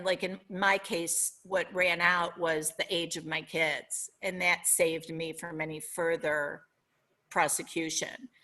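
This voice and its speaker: Bright-voiced Minnesotan woman, earnest. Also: fairly low pitch. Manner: earnest, reflective, searching for words. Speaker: guest author. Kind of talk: podcast interview.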